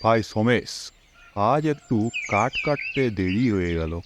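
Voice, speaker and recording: Man playing an easygoing woodcutter, lively intonation. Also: on the low side, a little slow, noisy background. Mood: agreeable, puzzled. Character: easygoing hungry village woodcutter friend. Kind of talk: cartoon character acting.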